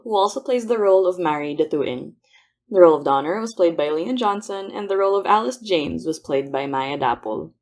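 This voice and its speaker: A woman, co-producer of the podcast, reading the credits and support links. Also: fairly low pitch. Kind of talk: read credits announcement.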